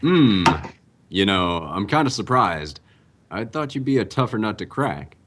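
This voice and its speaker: A man playing a smooth, easygoing and slightly sly suspected impostor in an old time radio drama. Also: fairly low pitch, very animated, a little slow, very noisy. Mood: sly, relaxed. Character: smooth, casual man posing as a returned brother.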